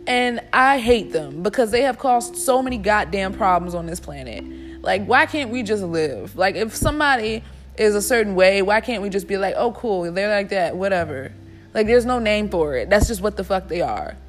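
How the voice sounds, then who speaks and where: A woman's voice: medium pitch, expressive, normal speed, faint music behind. A woman in her twenties hosting a solo podcast, ranting bluntly and emphatically with a lot of swearing. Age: 20s. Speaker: podcast host. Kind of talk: casual solo podcast rant.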